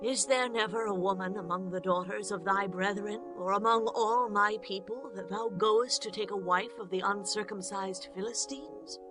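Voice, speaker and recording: A woman's voice: mid-range voice, normal speed, few pauses, over music, close to an everyday voice, low-quality recording. A woman playing a reproachful, pleading woman of ancient times in dramatized scripture, plaintive and emotive. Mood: disapproving.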